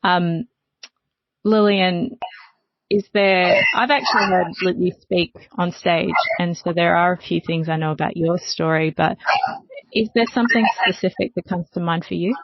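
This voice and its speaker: A woman facilitating a discussion, thoughtfully summing up what others have shared with pauses and unscripted phrasing. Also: fairly low pitch. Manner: thoughtful, pausing, paraphrases the speakers. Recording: dull, slightly roomy audio.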